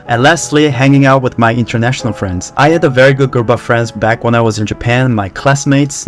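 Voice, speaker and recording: A young man from Korea with a Korean accent, talking to camera in a relaxed, conversational way about how he learned a language. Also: mid-range voice. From South Korea. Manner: relaxed, conversational, with fillers like "you know" and "like". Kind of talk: casual talk to camera.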